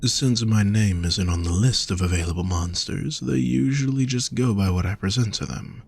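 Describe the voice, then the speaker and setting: A man voicing a gentle, teasing, affectionate demon in an intimate audio roleplay, speaking softly and tenderly to the listener. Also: fairly low pitch, vocal fry, slightly rough. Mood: gentle, curious. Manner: soft, unhurried, tender teasing.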